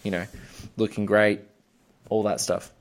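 A man's voice: on the low side, flat delivery, unhurried, creaky. A man hosting a podcast, talking casually and effusively about film in a rambling way. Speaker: podcast host.

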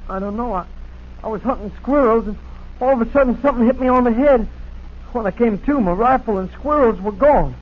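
A man's voice: very high-pitched, brisk. An actor in a 1940s radio drama playing an earnest, guileless teenage boy from the backwoods. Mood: dazed. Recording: tinny, band-limited 1940s radio.

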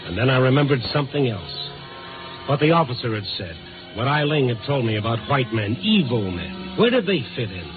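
A man's voice: medium pitch, big pitch swings, a little fast, close to an everyday voice. Tinny vintage radio, music behind, male actor as sardonic hard-boiled reporter, terse, dramatic noir delivery. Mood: brooding narration. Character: tough, sardonic newspaper reporter narrating his night investigations. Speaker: lead actor and narrator. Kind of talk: 1950s radio drama acting, noir narration.

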